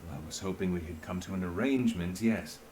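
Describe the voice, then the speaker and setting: A man's voice: sits low, fast, darkish tone, a little hiss or hum, lightly acted. A man playing an earnest, guilt-laden investigator in a fantasy video game, with a theatrical delivery. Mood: earnest, pleading. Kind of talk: dramatic video game acting.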